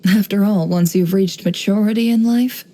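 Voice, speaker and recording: An actress playing a shrewd, worldly woman who runs an intelligence business in a video game, speaking in a composed, wry, measured way. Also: medium pitch, fairly even intonation, mellow, close to an everyday voice. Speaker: video game character voice actor. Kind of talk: scripted game character voice lines, monologue.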